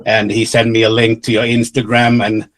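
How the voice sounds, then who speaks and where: Easygoing co-host. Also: male voice, on the low side, monotone, normal speed. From Finland. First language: Swedish. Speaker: podcast co-host. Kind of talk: casual chat.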